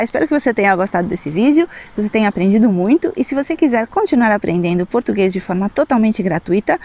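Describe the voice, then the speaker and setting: A woman in her thirties teaching a beginners' language lesson to camera, enunciating phrases deliberately. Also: fairly high pitch, darkish tone. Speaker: language teacher and channel host. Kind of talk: instructional talk to camera for beginners. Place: outdoors on a coast by the sea.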